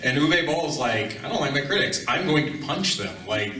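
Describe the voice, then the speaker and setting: Echoey, off-mic panelist; male, forceful bright tone, casual chat. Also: mid-range voice, animated, normal speed. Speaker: panelist at a gaming expo. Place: convention panel room. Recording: echoey room, distant microphone.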